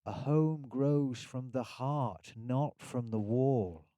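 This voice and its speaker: A man reads verse in a mellow, soft-edged voice at a slow pace. His phrasing is deliberate and rhythmic, captured very dry and close. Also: medium pitch, no room sound. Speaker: poetry reader. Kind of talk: poetry recital.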